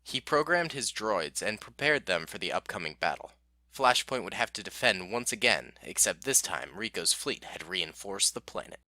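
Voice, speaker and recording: A man reading a scripted war story as narrator, in a steady storybook manner. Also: lowish voice, moderate pace, dry recording.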